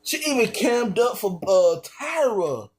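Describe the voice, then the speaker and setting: A man's voice: high-pitched, big pitch swings, slow pace. A man streaming, reacting to a video with slangy, incredulous casual commentary.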